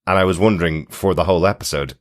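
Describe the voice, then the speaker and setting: Man, extremely low pitch, podcast host, conversational, musing, informal recap. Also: rapid-fire. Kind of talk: casual TV recap discussion.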